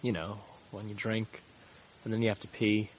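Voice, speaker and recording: A man's voice: on the low side, little pitch movement, normal speed, close to an everyday voice. A man playing an earnest, easily rattled teenage boy in an audio drama, speaking in a casual, slangy way. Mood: recounting. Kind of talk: audio drama acting and first-person recounting. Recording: faint background noise.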